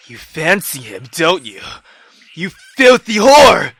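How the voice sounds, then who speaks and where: A cruel, commanding mother acted out by a woman reading a visual novel game. The delivery is venomous and accusing. It moves slowly and swings widely in pitch. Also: sing-song, vocal fry, fairly bright tone, breathy edge, exaggerated voice. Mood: furious, contemptuous. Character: cruel, accusing mother scolding her daughter.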